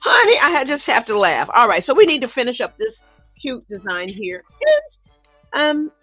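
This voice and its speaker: A woman's voice: a little high, very animated, normal speed, husky, dry recording, soft background music. A middle-aged woman with a nasal, congested voice, chatting casually with viewers while she sets up a painting lesson in her home studio. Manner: chatty, laughs often, talks to viewers as "y'all" and "baby". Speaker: art teacher live-streaming a painting lesson. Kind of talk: casual live-stream chat while setting up. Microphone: desk microphone near the table. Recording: tinny, narrow-band live-stream audio.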